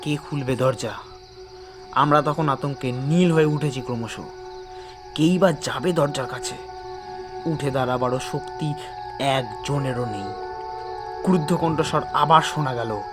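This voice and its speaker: A man's voice: mid-range voice, a little slow, long pauses. Dramatic male horror narrator over music. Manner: dramatic, suspenseful. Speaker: story narrator. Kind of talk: scripted first-person horror narration.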